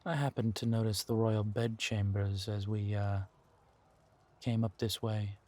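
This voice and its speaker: A man's voice: lowish voice, steady tone, measured pace, a little mellow, lightly acted. A man acting a menacing, seductive villain king in a roleplay audio drama, with a theatrical, commanding drawl.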